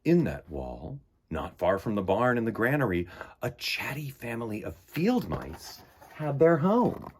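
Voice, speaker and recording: A man hosting a children's storytime, speaking and reading aloud with enthusiastic, theatrical emphasis and a husky voice. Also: medium pitch, huge pitch swings, unhurried, noisy background. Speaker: host of a children's storytime show. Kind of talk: animated read-aloud and chat for children.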